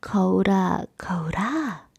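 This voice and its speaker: Woman playing a vain wicked queen, slow and breathy. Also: mid-range voice, animated, heavily acted. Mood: vain, coaxing. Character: vain wicked queen, a witch, questioning her magic mirror.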